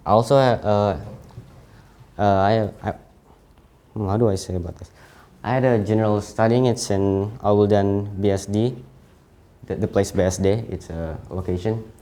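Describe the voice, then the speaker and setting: Halting young Indonesian man, mellow voice. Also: fairly low pitch, fairly even intonation. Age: late teens. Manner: halting, frequent self-corrections. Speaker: student presenting his CV. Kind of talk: informal presentation.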